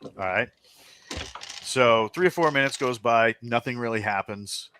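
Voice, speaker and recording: A man narrating a tabletop role-playing game as game master, improvising scenes in a halting, descriptive way. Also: medium pitch, pushed and bright, a little hiss or hum.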